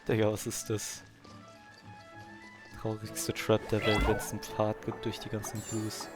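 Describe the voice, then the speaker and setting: A man's voice: on the low side, monotone, measured pace, over music. A man with a German accent giving casual gameplay commentary. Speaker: gaming streamer.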